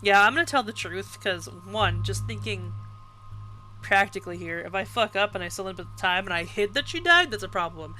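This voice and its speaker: A woman's voice: mid-range voice, expressive, a little fast, pushed and bright, faint music behind, poor audio. A woman with a youthful baby voice chatting casually while streaming a game, laughing and reacting. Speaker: livestream host playing a game. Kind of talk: casual gaming commentary.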